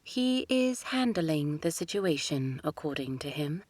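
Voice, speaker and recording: A woman voicing a proud young princess who speaks in a crisp, formal way. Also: deep voice, sing-song, measured pace, a little mellow, no echo, exaggerated voice. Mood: reserved.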